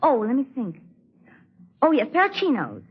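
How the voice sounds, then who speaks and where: An actress playing a young, frank and chatty office secretary with a taste for thrills, in 1940s radio acting style. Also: female voice, high voice, huge pitch swings, normal speed, slight background noise. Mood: chatty, blithe. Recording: dull lo-fi 1940s radio recording.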